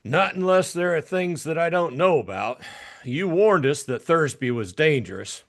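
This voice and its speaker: A man's voice: a little high, lively intonation, moderate pace, fairly bright tone. A man playing a cool, cynical private detective in an audiobook, speaking in a blunt, sardonic, matter-of-fact way. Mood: firm, blunt. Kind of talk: audiobook character acting.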